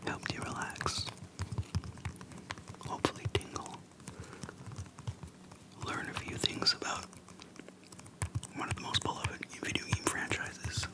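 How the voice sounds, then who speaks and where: An ASMR host speaking in a soft, breathy unvoiced whisper, slow and hushed with pauses between short phrases, close to the microphone. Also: poor audio. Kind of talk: whispered ASMR, relaxed personal chat. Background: tapping on a plastic case.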